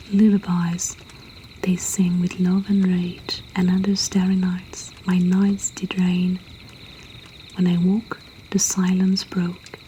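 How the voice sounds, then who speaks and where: A woman reading poetry and stories in a breathy whisper, in a soothing, hushed ASMR style. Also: lowish voice, slight background noise, rough recording. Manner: slow, soothing, hushed delivery. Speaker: poetry reader and host.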